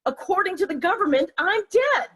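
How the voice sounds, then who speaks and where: A woman's voice: very high voice, big pitch swings, brisk, a bit bright, exaggerated voice. A woman playing a wry, exasperated middle-aged mother in a comic play read aloud. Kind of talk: table-read stage comedy acting.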